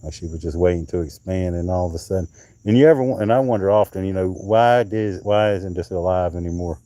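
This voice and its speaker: Guy with a deep mellow voice just chatting away on a live stream, loose and rambly, trails off a lot. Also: a little fast, dry recording. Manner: rambling, chatty, drifts off mid-sentence; handling and showing coral pieces. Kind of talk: casual live stream chat.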